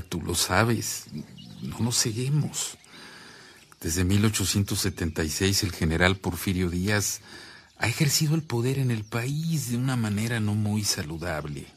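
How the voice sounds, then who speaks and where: Sacerdote mexicano solemne, de voz grave. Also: male voice, lowish voice, animated, breathy edge. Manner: measured, solemn, explanatory. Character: earnest, reflective Mexican priest, calming his worried sister. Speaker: radio drama actor. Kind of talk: Spanish-language radio drama acting.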